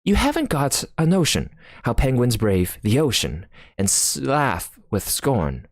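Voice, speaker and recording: A man's voice: medium pitch, wildly swooping pitch, a little slow, no room sound, clean studio sound, pitched above the performer's own voice, heavily acted. A man voicing a jaunty, boastful storybook penguin sailor, theatrically singing comic sea songs.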